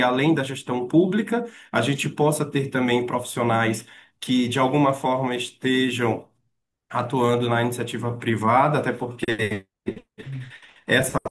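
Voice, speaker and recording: Maranhão-accented man giving an online lecture. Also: mid-range voice. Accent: Maranhão Brazilian Portuguese. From Maranhão, Brazil. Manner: polite, hesitant with fillers. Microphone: video call.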